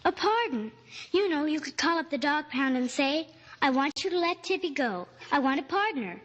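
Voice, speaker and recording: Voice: high voice, animated, normal speed, fairly bright tone, long reverb tail, highs cut off. A woman playing an earnest, polite little girl, pleading in simple childlike speech in an old-time radio drama. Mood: polite, hopeful. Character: little girl, earnest, pleading, tearful over her lost dog. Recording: old radio recording with faint hiss.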